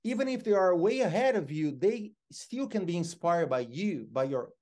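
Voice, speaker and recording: A middle-aged Brazilian man with Portuguese as his first language and a fairly high voice, telling lively stories as an interview guest. He is animated and expressive, speaking over a video call from a home office. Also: moderate pace. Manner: expressive, gestures a lot, lively storyteller.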